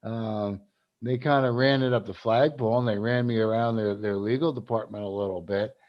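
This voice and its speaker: A man's voice: fairly low pitch, fairly even intonation, fairly quick, lo-fi sound. A man from South Carolina, hosting a casual advocacy livestream, rambling with many fillers and restarts. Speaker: cannabis advocacy group host.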